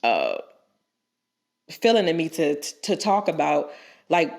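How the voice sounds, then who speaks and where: Woman, low voice, stuffy from a cold, rambling casual advice, podcast co-host. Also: wildly swooping pitch, unhurried, creaky, darkish tone. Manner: rambling, frequent restarts and fillers.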